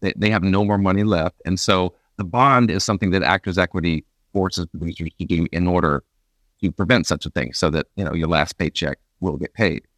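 A man with a fairly low voice hosts an interview in a conversational style. He explains things for listeners, doubling back on words as he talks. The recording is dry and free of room sound. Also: fairly quick, fairly bright tone, dry recording. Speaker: interview show host, stage performer.

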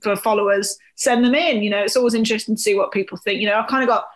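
A woman's voice: mid-range voice, steady tone, racing. A British woman co-hosting a podcast in casual, chatty, informal conversation. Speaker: podcast co-host.

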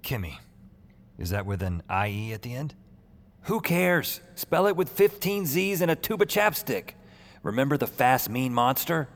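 Wry, expressive male emergency dispatcher. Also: mid-range voice, normal speed. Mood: wry, soothing. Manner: sardonic, muttering, conversational. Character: wry, rattled young male 911 dispatcher. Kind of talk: audio drama acting. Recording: loud music under the voice. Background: loud dramatic music.

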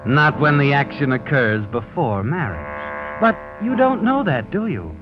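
Sardonic, swooping radio mystery narrator. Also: male voice, mid-range voice, sing-song, a little slow. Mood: taunting, sardonic. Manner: sardonic, insinuating, dramatic. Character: taunting, ominous all-knowing narrator addressing the character. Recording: muffled old radio broadcast recording. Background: dramatic music.

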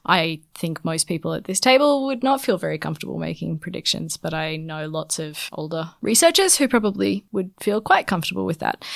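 A woman co-hosting an academic podcast, reasoning aloud in a relaxed way. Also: lowish voice, creaky, dry recording, clean studio sound. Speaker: podcast co-host. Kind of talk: casual academic discussion.

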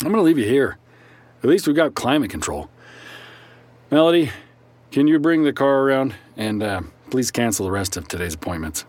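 A man's voice: medium pitch, expressive, moderate pace, long pauses, a little mellow, natural voice. A man playing a wry, chatty therapist in an audio drama, talking in a hesitant, rambling, sardonic way. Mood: flustered exasperation. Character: wry, chatty, flustered male therapist who brawls apologetically. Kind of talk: naturalistic audio drama acting.

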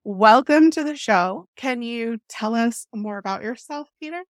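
A woman's voice: fairly high pitch, expressive, unhurried, no room sound, clean studio sound. A woman hosting a podcast, reading an enthusiastic introduction of her guest. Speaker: podcast host.

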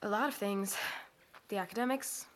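A woman's voice: medium pitch, moderate pace, natural voice. Woman playing an ambitious small-town American teenage girl. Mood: hesitant, self-effacing. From United States. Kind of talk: audio drama acting with first-person narration.

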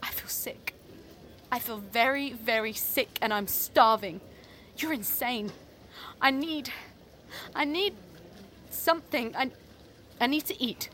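A woman's voice: on the high side, slow pace, pauses often, fairly bright tone, slight background noise. An actress playing a distraught, self-loathing young woman who rants accusingly in an audio drama. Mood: queasy, desperate. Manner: anguished, accusatory ranting and muttering. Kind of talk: audio fiction acting.

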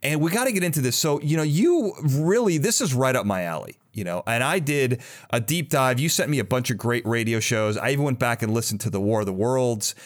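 A man's voice: mid-range voice, expressive, fairly quick. A man hosting a casual interview podcast, chatty and enthusiastic in his delivery.

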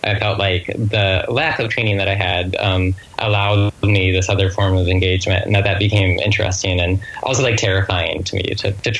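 An author speaking as an interview guest, thoughtful and halting, often reworking phrases in a reflective conversational way. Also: sits low, fairly even intonation, a bit bright, low-quality recording.

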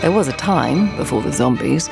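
Low-voiced, sardonic 19th-century woman. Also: lively intonation, moderate pace, creaky, exaggerated voice. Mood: wry confessional. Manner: wry, blunt, theatrical. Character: sardonic, blunt 19th-century woman, piano teacher and confessed ghost seller. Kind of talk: audio drama acting and confessional narration. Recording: music under the voice.

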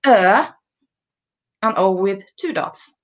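A woman's voice: mid-range voice, animated, takes it slowly. Swedish woman on a video call, modest, easy mid-range voice. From Sweden. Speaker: guest. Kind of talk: casual video-call chat.

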